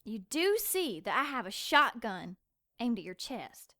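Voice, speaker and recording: Young small-town woman, high-pitched, sharp, suspicious and frightened, accusing, radio drama. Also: sing-song, measured pace, exaggerated voice. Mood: accusing, nervous. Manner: accusatory, confrontational. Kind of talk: audio drama acting.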